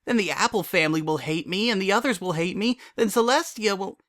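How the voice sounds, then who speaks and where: A man's voice: sits high, fairly quick, fairly bright tone, no echo, studio quality, pitched above the performer's own voice. An earnest, worrying young mare of a scholar pony , acted out by a male reader, speaks in a raised voice with careful diction. She sounds bookish and fretful, like a character in a storybook reading. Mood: anxious, self-blaming. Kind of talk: dramatic fan fiction reading.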